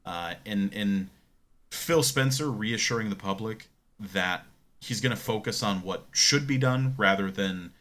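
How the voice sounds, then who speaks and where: A man's voice: fairly low pitch, a little slow, a bit bright. A man co-hosting a podcast, chatting casually about tech in an opinionated, explanatory way. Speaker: podcast co-host. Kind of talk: casual gaming tech discussion.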